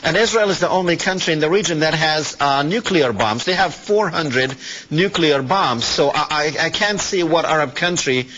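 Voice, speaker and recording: A man's voice: fairly high pitch, normal speed, a bit bright, a little hiss or hum. A man hosting a live call-in discussion, explaining points and challenging with questions. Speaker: host of a call-in talk show. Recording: slightly muffled broadcast audio.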